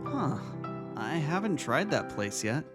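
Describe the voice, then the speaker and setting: A man's voice: on the high side, sing-song, slow pace. Man playing an earnest, kind school vice-principal over music. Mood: casual, friendly. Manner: earnest, gentle, encouraging. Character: kind, reassuring male vice-principal, warm brother. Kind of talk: audio drama fan fiction reading. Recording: music under the voice.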